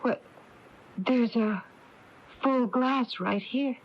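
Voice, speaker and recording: A woman's voice: on the high side, very slow. An actress playing a polite, uneasy, wary woman in a radio mystery drama, hesitant and cautious in her speech. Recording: dull, noisy off-air radio tape.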